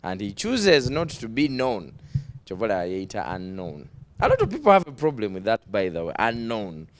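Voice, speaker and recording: A man's voice: lowish voice, wildly swooping pitch. A man with a Ugandan accent hosting a talk show interview, switching between English and Luganda, drawing out words for emphasis and often laughing. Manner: emphatic, drawn-out delivery with frequent laughter.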